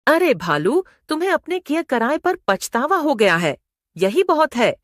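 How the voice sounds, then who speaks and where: A woman's voice: high-pitched, big pitch swings, unhurried, no room sound, clean studio sound. A woman voicing a kind, selfless mother elephant in a children's moral-story cartoon, speaking earnestly. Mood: forgiving. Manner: earnest, explanatory. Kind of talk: Hindi animated moral story dialogue.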